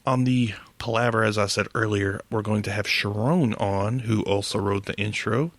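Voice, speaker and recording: A man's voice: fairly low pitch, moderate pace, fairly bright tone, no room sound. A man hosting a podcast solo, chatting casually in a rambling, hesitant, self-deprecating way with some swearing. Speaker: podcast host.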